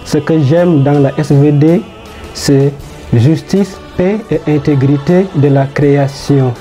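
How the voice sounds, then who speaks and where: A young seminarian from Chad giving an earnest, formal self-introduction. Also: male voice, on the high side, slow pace, dark tone, soft background music.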